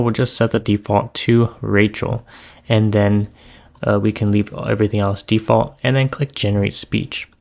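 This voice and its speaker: A man narrating a casual, step-by-step software tutorial as its presenter. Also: medium pitch, vocal fry.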